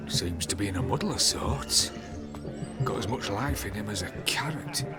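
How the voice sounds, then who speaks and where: An actor playing a plain-spoken rustic villager in a fantasy video game, folksy in manner. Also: male voice, lowish voice, animated, normal speed, lightly acted. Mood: bemused. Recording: game dialogue under loud music.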